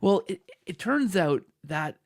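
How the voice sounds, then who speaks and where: Male podcast host, lighter pitch with creaky edges, animated conversational storytelling. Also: slow pace, no room sound, clean studio sound. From Canada. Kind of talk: conversational interview.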